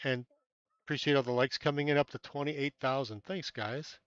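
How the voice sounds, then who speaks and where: A man's voice: mid-range voice, fairly even intonation, normal speed, fairly bright tone, smooth, no room sound, muffled recording. Chatty man streaming from a tent. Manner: rambling, chatty, thanks viewers by name, many fillers. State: a little stuffy-nosed. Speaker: amateur astronomy livestreamer. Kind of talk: casual live stream chat with viewers. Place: inside a small bubble tent outdoors.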